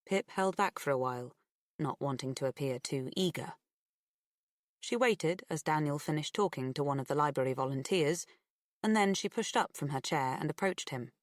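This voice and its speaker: A woman's voice: low voice, expressive, moderate pace, no room sound, studio quality. A woman reading scripted fiction as an audiobook narrator.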